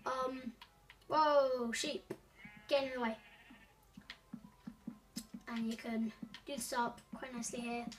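High-voiced woman, rambling tutorial. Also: fairly even intonation, takes it slowly, pauses often, far from the mic. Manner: rambling, many ums and self-corrections. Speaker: gaming tutorial host. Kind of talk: casual game walkthrough.

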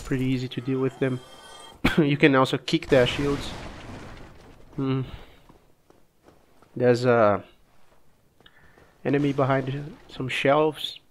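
A man with a cold casually commenting on his own gameplay, relaxed and halting, coughing now and then. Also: medium pitch, slight background noise. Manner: relaxed, halting, frequent restarts and fillers. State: sick, coughing.

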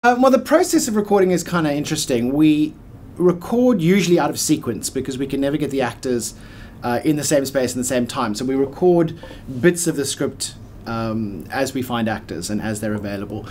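A man's voice: mid-range voice, animated, a little fast, a little mellow, a little hiss or hum. A man in his forties giving a casual, plain-spoken explanation in an interview, with emphatic asides. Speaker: radio play producer explaining the process. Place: small office or studio room by a window, indoors.

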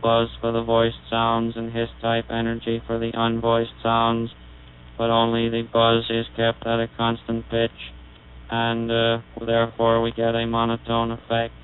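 A man demonstrating an early speech synthesizer, speaking in a measured, explanatory way through a buzzy vocoder. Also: medium pitch, completely flat delivery. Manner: measured, explanatory, recites test sentences. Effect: vocoder-resynthesized voice, buzzy and synthetic. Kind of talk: technical demonstration. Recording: tinny 1930s archival recording with mains hum.

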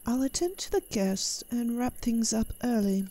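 A woman voices an elf queen, composed and commanding. Her voice is fairly high yet mellow, with the formal, regal delivery of a fantasy drama ruler. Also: expressive, moderate pace. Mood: calm command. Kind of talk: fantasy audio drama roleplay.

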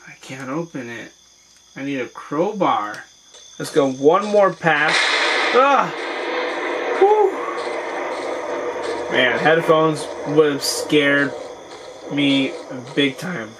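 A hoarse young man casually reviewing a VR headset at home, exclaiming through gameplay. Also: on the high side, drawn-out words, pauses often, heavy background noise. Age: young adult. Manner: excited exclamations, chatty. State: hoarse from being sick. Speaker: tech reviewer and VR gamer. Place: small home room with shelves, indoors.

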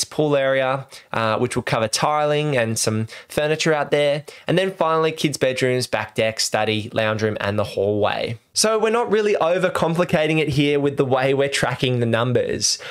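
A man's voice: a little high, clear, resonant tone. An Australian man in his thirties explaining things as a vlog host, speaking into a close desk microphone in a small room at home. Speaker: host of a home renovation video series. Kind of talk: explanatory vlog talk to camera. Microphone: desk microphone close to mouth.

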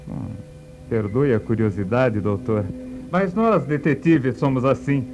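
A nosy detective of radio comedy, played by a man, courteous yet insistent. His voice sits at a middling pitch, with music behind it. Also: unhurried, close to an everyday voice. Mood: curious, polite. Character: nosy, casually polite male detective. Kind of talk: comic radio play acting.

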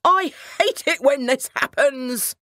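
A woman's voice: sits high, takes it slowly, fairly bright tone, no room sound, studio quality, voice pushed up. A woman voicing an exasperated, troubled king in a children's bedtime story, complaining dramatically.

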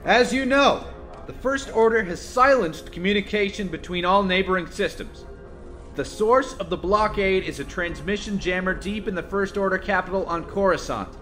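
A man's voice: high voice, normal speed, bright, forceful tone, faint music behind. A man acting an earnest rebel officer giving a military briefing in an audio drama, urgent and declarative.

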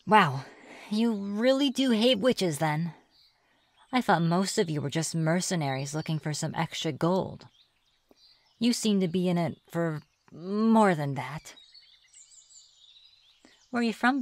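Woman acting a slow-talking, teasing forest witch. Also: on the low side, expressive. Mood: playful, pestering. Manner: teasing, musing aloud, coy. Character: playful, teasing reclusive forest witch, sly and mischievous. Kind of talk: ASMR fantasy roleplay. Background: birdsong and forest ambience.

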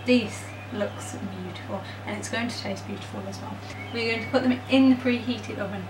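A woman's voice: a little high, steady tone, brisk. A young adult woman giving a casual, chatty baking demonstration in an informal, self-deprecating way, recorded indoors on a camera mic. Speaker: home baking vlogger. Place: small home kitchen, indoors. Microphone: camera mic a metre or two away. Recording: roomy, distant mic, noisy background.

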